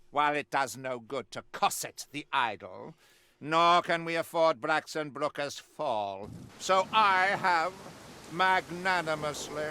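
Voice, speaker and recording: An actor playing a zealous, righteous witch-hunting warrior priest in a video game, pompous and grandiloquent, pausing deliberately for effect. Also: a little high, bright, forceful tone, slight background noise, full character voice. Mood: pompous, stern. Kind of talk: theatrical video game mission briefing.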